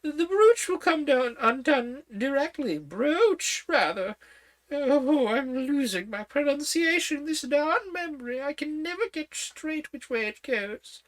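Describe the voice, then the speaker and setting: Scatterbrained old storybook queen, high and fluttery, a woman reading it. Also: lively intonation, unhurried. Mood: fussing.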